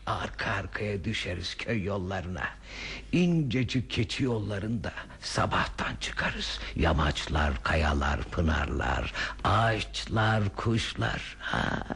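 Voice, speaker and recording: An actor playing an older man in a radio play, a handy, dreamy enthusiast who coaxes and reassures in a husky voice. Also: deep voice, expressive, takes it slowly, a bit bright, exaggerated voice. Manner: coaxing, reassuring. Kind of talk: radio theater acting.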